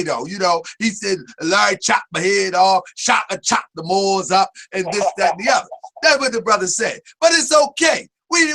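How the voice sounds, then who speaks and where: A man with a high, bright, sharp voice hosts a live stream talk show. He talks in a hyper, emphatic and preachy way, pressing hard on his points. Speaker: host.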